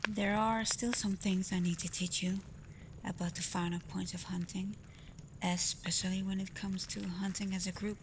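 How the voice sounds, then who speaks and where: A woman voicing a caring alpha werewolf in a soft, breathy ASMR roleplay, gentle and reassuring, confident but privately unsure. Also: medium pitch, normal speed, natural voice. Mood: warm, encouraging. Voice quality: soft, breathy, often near a whisper. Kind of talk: ASMR roleplay, soft intimate talk to the listener. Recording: clear steady ambient noise.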